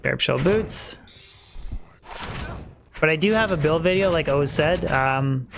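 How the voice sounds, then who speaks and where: A man's voice: fairly high pitch, vocal fry, fairly bright tone, a little hiss or hum. A man streaming a game live, chatting casually with his viewers while he plays; he says he is losing his voice. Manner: chatty, talks to chat viewers, many fillers.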